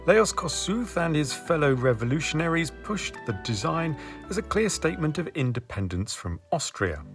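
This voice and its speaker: Male narrator, lively intonation, dry humor, music bed underneath. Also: medium pitch. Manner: dry, wry asides. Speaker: video host. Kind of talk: scripted narration. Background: background music.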